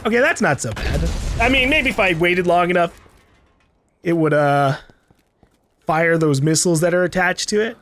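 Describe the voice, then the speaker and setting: A man's voice: high-pitched, moderate pace, pauses often, a bit bright, slight background noise. A man giving casual let's play commentary, playfully rambling and thinking aloud as he goes. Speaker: gaming video host. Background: faint game sounds.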